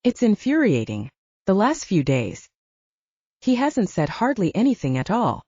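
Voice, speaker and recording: A woman's voice: mid-range voice, wildly swooping pitch, a little slow, dark tone, slightly rough, dry recording, dull audio, heavily acted. A woman voicing an earnest young woman fighter in an audiobook, venting emphatically about love. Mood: exasperated.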